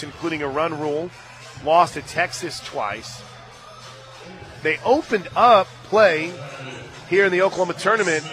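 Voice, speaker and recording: Bright-voiced American man calling softball. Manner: animated calls that swell to shouts on big plays, conversational between pitches. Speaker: radio play-by-play announcer. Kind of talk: live sports commentary with casual banter. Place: radio broadcast booth at a ballpark.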